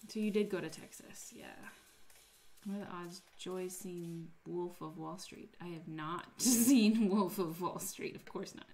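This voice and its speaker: A woman's voice: fairly low pitch, unhurried, mellow. A woman casually chatting with her live-stream audience while crafting, playful and teasing as she reads chat. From United States.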